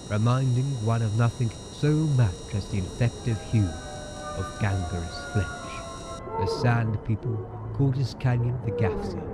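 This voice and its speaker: A man playing a grave narrator of a field report from an alien desert, with solemn, ornate, dramatic delivery. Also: lowish voice. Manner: solemn, ornate, deliberate phrasing. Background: loud music and effects.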